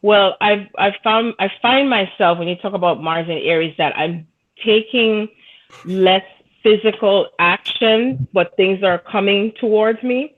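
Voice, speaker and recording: A woman from Jamaica talks earnestly about spiritual matters, drifting through thoughts with fillers and restarts. Her voice sits in a middle pitch. It comes through a thin, tinny phone-like line. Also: measured pace. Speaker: podcast guest, spiritual reader. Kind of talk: casual spiritual conversation.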